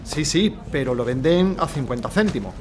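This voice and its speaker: A man's voice: medium pitch, expressive, moderate pace, noisy background, natural voice. A man playing a harried, apologetic neighbourhood baker in a radio drama.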